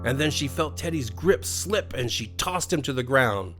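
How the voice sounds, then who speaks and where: A man with an American accent reading a scripted bedtime horror story in an expressive, dramatic way. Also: mid-range voice, moderate pace. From USA. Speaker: storyteller, narrator. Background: faint music.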